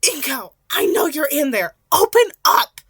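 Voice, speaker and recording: A woman's voice: high-pitched, very animated, a little slow, fairly bright tone, pitched above the performer's own voice, heavily acted. A woman reader puts on a stubborn, caring mother in an amateur audio story. The voice is lifted and emphatic, pushing for answers with motherly concern. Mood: angry shouting. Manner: emphatic, pressing.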